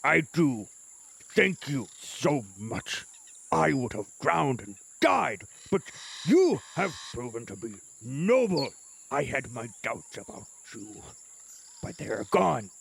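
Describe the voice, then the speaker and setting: A man voicing an earnest, grateful male warrior-healer, with a slow and solemn delivery. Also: medium pitch, sing-song, gravelly, a little hiss or hum, exaggerated voice.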